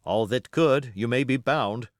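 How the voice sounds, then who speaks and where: A man voicing a brisk, decisive doctor in an adventure storybook, speaking emphatically. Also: medium pitch, no room sound, studio quality, natural voice. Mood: grimly satisfied.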